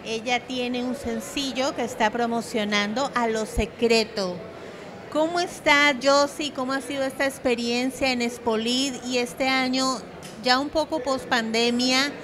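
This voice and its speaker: A woman's voice: high-pitched, steady tone, unhurried. A middle-aged woman doing an upbeat broadcast interview into a handheld microphone at a convention booth. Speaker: radio interviewer. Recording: crowd chatter at event.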